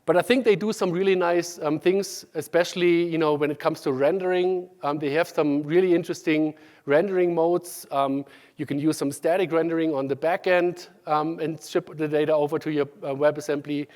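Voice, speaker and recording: A man with a German accent gives a technical talk at a conference, pausing often with ums and starting sentences over. His voice sits a little high for a man. The sound carries the long reverb of a large hall. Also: steady tone, darkish tone. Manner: halting, many ums and restarts. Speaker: conference speaker.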